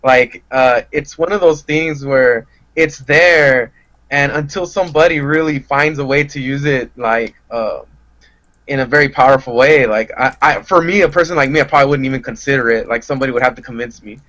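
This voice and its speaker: A man's voice: medium pitch, a little fast, a bit bright. A man chatting casually as a podcast guest, rambling in a hesitant, self-deprecating way. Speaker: podcast guest, fighting game player. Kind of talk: casual chat.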